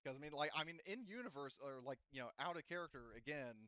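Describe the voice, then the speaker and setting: Halting man explaining, dry lo-fi sound. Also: a little high, animated, bright, forceful tone, smooth, no echo. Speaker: game master. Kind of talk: casual unscripted discussion of a tabletop roleplaying game.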